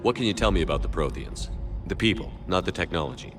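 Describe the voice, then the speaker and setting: An actor playing a grave, direct military commander in a video game. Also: male voice, low-pitched, expressive, quick pace. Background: background music.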